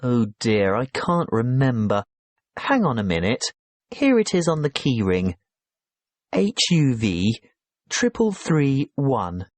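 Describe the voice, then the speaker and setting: A man playing a polite businessman checking into a hotel in a scripted listening-test dialogue, courteous and clearly spoken. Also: medium pitch, sing-song, takes it slowly, dry recording.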